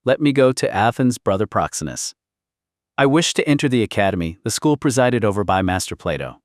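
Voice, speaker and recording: Man voicing a coldly resolute teenage boy philosopher, low-pitched. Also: lively intonation, brisk, no room sound, studio quality, natural voice. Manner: grandiose, emotionless declarations. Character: sixteen-year-old boy philosopher, coldly brilliant and resolute.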